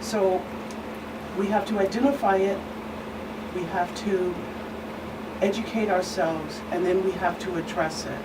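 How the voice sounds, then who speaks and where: A woman with a fairly low voice speaks as an expert guest in an earnest discussion. She is measured and emphatic, breaking her points into short phrases. The microphone is some way off, with clear noise behind her. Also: steady tone. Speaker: guest expert, reverend and attorney. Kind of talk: earnest talk show discussion. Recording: distant mic, clear background hum.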